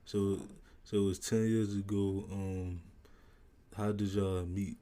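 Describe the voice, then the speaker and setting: A man hosts a laid-back podcast interview from a university library. His voice sits low and very dark, with little rise and fall. He asks loose questions peppered with ums and likes. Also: slow pace. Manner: relaxed, filler-heavy questions. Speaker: podcast host. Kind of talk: casual interview.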